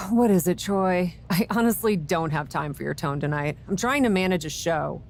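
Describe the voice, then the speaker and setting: A woman's voice: on the low side, lively intonation, moderate pace, slight background noise. A woman playing a wry, conflicted wrestler turned general manager in a video game, skeptical and dry in manner. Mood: exasperated. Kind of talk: video game cutscene acting.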